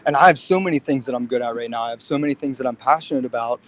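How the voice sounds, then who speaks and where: A man's voice: medium pitch, very fast. A man in his late twenties talking casually and earnestly in a livestream conversation. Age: late 20s. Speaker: fitness guest.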